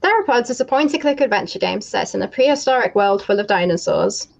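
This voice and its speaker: A woman's voice: mid-range voice, expressive, quick pace, vocal fry. A young woman who grew up in Namibia presents the game she builds. She talks in an upbeat, explanatory way, walking through design, art and story. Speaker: game developer. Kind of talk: presenting her indie game.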